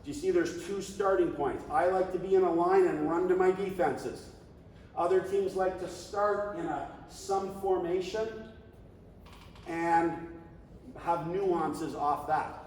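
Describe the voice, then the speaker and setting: A man leading a coaching clinic, talking in an animated, conversational way and now and then shouting coaching calls. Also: high-pitched, takes it slowly, a little hiss or hum. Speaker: flag football coach. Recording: echoey hall, distant mic.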